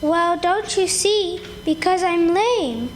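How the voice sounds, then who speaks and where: A woman's voice: extremely high pitch, lively intonation, slow, slight background noise, full character voice. A woman playing a sweet, pious, frail little boy in a live radio play, innocent and earnest.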